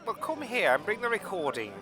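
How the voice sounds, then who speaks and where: A man's voice: high-pitched, sing-song, a little fast, over music. A man playing an officious, self-important policeman in a radio comedy, speaking emphatically and with exasperation. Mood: exasperated. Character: officious, earnest policeman making an arrest. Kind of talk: radio comedy drama parody.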